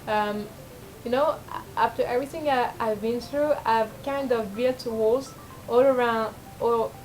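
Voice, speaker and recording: Young woman vlogging, low voice, faint music. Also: fairly even intonation, measured pace, darkish tone, poor audio. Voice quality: low speaking voice. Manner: rambling, self-deprecating, frequent fillers and restarts. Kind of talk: casual confessional vlog.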